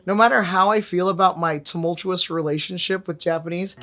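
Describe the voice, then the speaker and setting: A man's voice: sits high, fairly quick, pushed and bright. A man vlogging a casual app review in a wry, joking way, with sudden emphatic bursts. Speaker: VR fitness and language vlogger.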